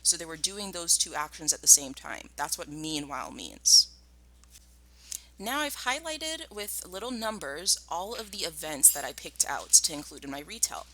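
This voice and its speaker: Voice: mid-range voice, bright, forceful tone, rough recording. A primary school teacher explaining a lesson and narrating a storybook expressively.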